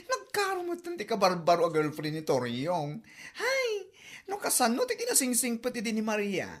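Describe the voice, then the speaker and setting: A woman's voice: a little high, huge pitch swings, normal speed. A woman with an Ilocano accent voicing a gossipy neighbor who chatters about others. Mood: gossiping.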